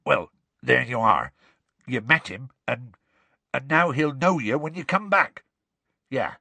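A man voicing a huge, gruff half-giant gamekeeper who shouts, coaxes and scolds in an audiobook. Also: medium pitch, animated, slow, dry recording, full character voice. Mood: flustered. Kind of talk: audiobook character voice.

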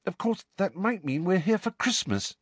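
A man voicing a warm, emotional middle-aged mother, lifting his voice high and gushing. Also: lively intonation, moderate pace, slightly rough, dry recording. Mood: grateful.

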